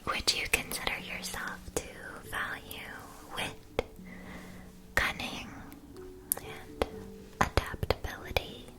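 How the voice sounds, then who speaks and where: A woman whispering an ASMR roleplay as a polite, attentive witch-school shopkeeper, explaining in measured, deliberate phrases. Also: close to an everyday voice.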